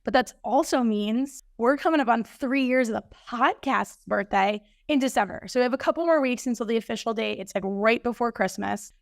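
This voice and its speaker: A woman hosting a fitness podcast, slightly hoarse, chatting upbeat about coming news. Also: on the high side, dry recording, studio quality. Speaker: podcast host and fitness coach. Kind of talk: casual solo podcast intro.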